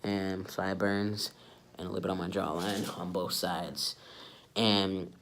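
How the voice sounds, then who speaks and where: A young adult man with a congested, stuffy-nosed sound from a cold, giving a casual, low-key update to camera. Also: flat delivery, measured pace, a bit bright, a little hiss or hum. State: sick with a stuffy nose. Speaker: vlogger.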